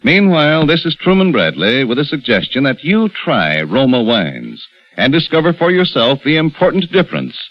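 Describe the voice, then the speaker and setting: A man's voice: medium pitch, huge pitch swings, normal speed. Man, vintage ad announcer, persuasive and very melodic, lo-fi sound. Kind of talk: sponsor commercial read. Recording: dull old radio broadcast with faint music.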